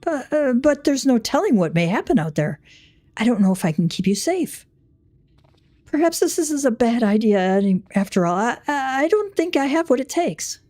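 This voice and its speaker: A woman's voice: fairly high pitch, big pitch swings, moderate pace, darkish tone, voice pushed up. A woman voicing an anxious, devoted inventor husband in a video game, speaking in a formal, wordy, self-doubting way. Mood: fearful.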